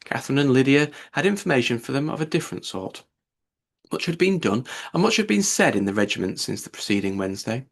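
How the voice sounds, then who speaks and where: A man narrating a classic novel as an audiobook, reading aloud from the text with a gravelly, husky voice. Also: medium pitch, very animated, fast.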